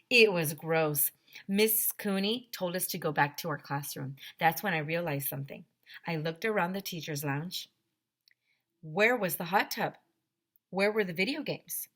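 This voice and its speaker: Lower-voiced woman reading aloud to children. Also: fairly bright tone. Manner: addresses children directly, emphatic repetition. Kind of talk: expressive children's read-aloud.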